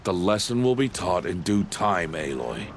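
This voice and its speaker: An actor playing a stern middle-aged male outcast mentor in a video game, speaking in a deep, grave, measured and terse way. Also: very animated, slight background noise. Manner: solemn and terse. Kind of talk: video game cutscene acting.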